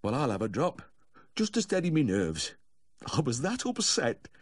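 A man's voice: medium pitch, wildly swooping pitch, normal speed, breathy edge, no echo, clean studio sound, pitched above the performer's own voice. A man voicing a tearful, fretful little Yorkshireman, a doting, tipsy dog owner, in a quavering audiobook character voice. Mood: relieved, shaky. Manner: wailing, quavering, gasping.

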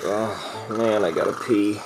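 A man's voice: on the low side, fairly even intonation, slow pace, rough recording. A man playing a sleep-deprived, guilt-ridden man of many jobs in a comedy skit, blubbering and making excuses. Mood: sheepish, groggy. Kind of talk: comedy skit character acting.

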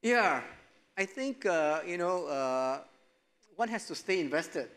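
A middle-aged man answering as an investment panelist in a studio discussion, relaxed and chuckling, with lots of "you know" fillers, on a lapel mic. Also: on the high side, takes it slowly, clear, resonant tone. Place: studio stage set.

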